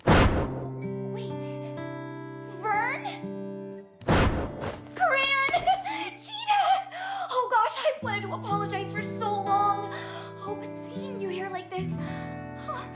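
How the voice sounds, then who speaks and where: A woman's voice: extremely high pitch, slow pace, long pauses. An actress voicing a young-looking priestess of a dragon god, a long-lost doting mother, in an English game dub. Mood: overwhelmed, tearful. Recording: echoing voice under prominent music. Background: guitar and instrumental music.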